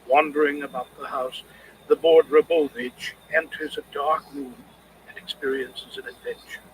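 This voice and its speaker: A man reading scripted literary criticism as an audiobook narrator, in a measured, expressive way. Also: on the high side, slight background noise, low-quality recording.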